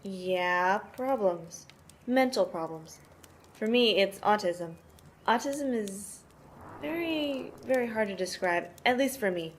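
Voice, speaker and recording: A woman acting as a protective big sister with a medium-pitched voice. She is tough and matter-of-fact, explaining things like an interviewee in an amateur audio play. There is some noise on the recording. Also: animated, takes it slowly. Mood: candid. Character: protective, tough sister mothering five troubled orphaned brothers. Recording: noisy amateur home recording.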